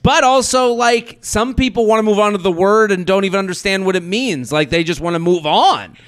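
A man in his thirties hosting a podcast, chatting casually with lots of fillers and animated asides. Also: sits high, a little fast. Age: 30s. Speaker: podcast host.

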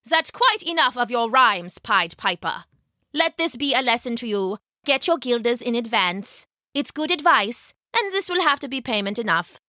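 A woman's voice: fairly high pitch, sing-song, moderate pace, sharp tone, no echo, clean studio sound. A woman voicing a greedy, smug male village mayor with a condescending, dismissive manner.

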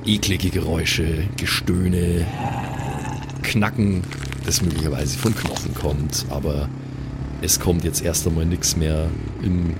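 A man's voice: low-pitched, steady tone, slow, heavy background noise. A man, improvising as game master in a colloquial, descriptive way. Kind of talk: improvised tabletop game narration.

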